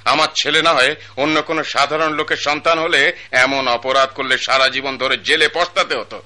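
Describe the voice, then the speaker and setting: A man's voice: medium pitch, steady tone, normal speed, sharp tone. An actor playing a stern, self-righteous wealthy father, older in age, in a radio drama. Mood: scolding. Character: wealthy stern patriarch, pompous older man.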